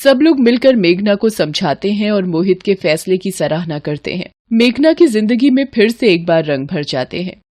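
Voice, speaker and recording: A woman narrates an animated family drama from a script. Her voice sits at an average pitch, and she serves as the storyteller between characters' lines. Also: normal speed, few pauses, no echo, studio quality.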